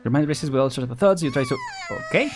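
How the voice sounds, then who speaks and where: A man's voice: on the high side, wildly swooping pitch, fast talker, music in the background. A young man giving casual live game commentary, musing and reacting as he plays. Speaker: game streamer.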